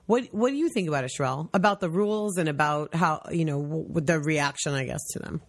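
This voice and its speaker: A woman's voice: deep voice, fast. A woman hosting a casual discussion, talking in a conversational way with frequent fillers. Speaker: host. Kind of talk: casual discussion, reading a post aloud.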